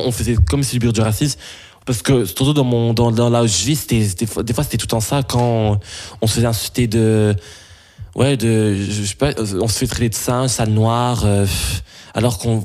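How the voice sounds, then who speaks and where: A young man from Belgium, answering interview questions in a mid-pitched voice. He talks haltingly, stopping to restart his sentences and filling gaps with hesitations. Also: darkish tone. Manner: halting, many restarts and fillers.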